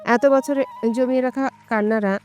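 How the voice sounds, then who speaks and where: A woman's voice: high voice, steady tone, moderate pace, darkish tone, soft background music. A woman storyteller reading a romantic story as scripted, dramatic narration.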